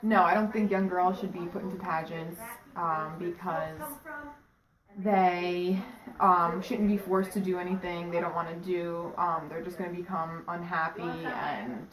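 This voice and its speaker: A woman's voice: lowish voice, fairly even intonation, a little slow. A hesitant woman giving an informal interview answer, echoey and off the mic. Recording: echoey, distant mic, crowd behind.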